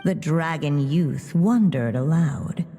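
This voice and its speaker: A woman acting as a mysterious, poised storybook narrator in a video game, with measured, theatrical phrasing. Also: sits low, dark tone, husky, heavily acted. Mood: knowing. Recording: long hall reverb over music.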